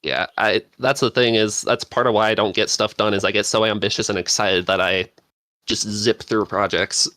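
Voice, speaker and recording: A woman speaks as a panelist in relaxed podcast conversation, agreeing readily and poking fun at herself. Her voice is very low in pitch, and the recording is dry and free of room sound. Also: normal speed, dry recording. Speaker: podcast panelist, script writer. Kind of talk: casual chat.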